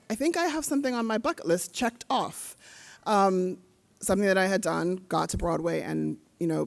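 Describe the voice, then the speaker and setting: Gravelly-voiced woman from South Africa. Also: on the low side. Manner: animated storytelling with laughs and fillers. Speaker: theater and screen director on a panel. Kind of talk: panel discussion answers. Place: convention panel hall.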